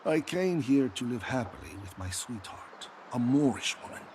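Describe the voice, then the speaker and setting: An actor playing a heartbroken, guilt-ridden exile in a video game, speaking in a mournful, theatrical way. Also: male voice, mid-range voice, animated, normal speed, a little mellow, slight background noise, natural voice. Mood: brooding. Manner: mournful, theatrical, slipping into whispers. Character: heartbroken exiled man consumed by guilt over lost lover. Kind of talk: dramatic video game dialogue.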